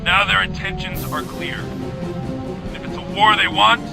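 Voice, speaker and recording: An actor playing a stern, commanding army general who gives orders in a formal, clipped, authoritative way. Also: male voice, sits high, steady tone, normal speed, creaky, loud music behind the voice. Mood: grave resolve. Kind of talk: military mission briefing.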